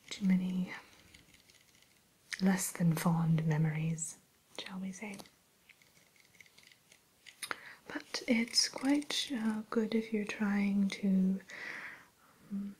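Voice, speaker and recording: Woman playing a breathy, whispering evil queen shopkeeper. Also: mid-range voice, a little mellow, low-quality recording. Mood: wry, reserved. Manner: hesitant, coy, genteel salesperson phrasing. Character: refined, sly evil queen running an enchanted mirror shop. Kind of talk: whispered ASMR roleplay.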